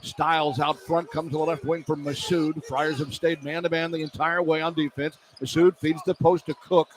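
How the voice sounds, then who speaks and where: Higher-voiced male basketball play-by-play announcer, noisy feed. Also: flat delivery, normal speed. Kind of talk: live basketball play-by-play commentary. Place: indoor basketball arena. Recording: noisy live arena radio feed. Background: clear arena noise.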